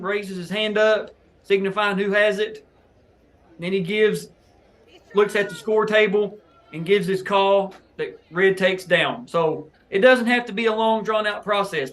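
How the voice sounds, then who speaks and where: Male host with a high voice, teaching-style breakdown of officiating footage. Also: measured pace, a bit bright. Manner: explanatory, coaching tone toward new officials. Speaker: host reviewing match footage. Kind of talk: instructional match breakdown for officials.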